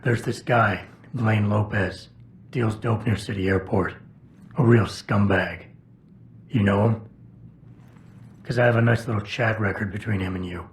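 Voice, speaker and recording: An actor playing a stoic, gruff, cybernetically augmented operative in a video game, speaking in a terse, sardonic deadpan. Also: male voice, on the low side, unhurried, pauses often, slight background noise. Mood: cool, pressing. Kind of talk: cinematic game dialogue.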